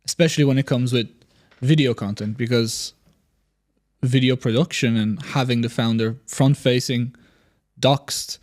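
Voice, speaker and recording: A man's voice: medium pitch, steady tone, darkish tone. Man asking long questions on a podcast. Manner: measured, with fillers, builds long questions.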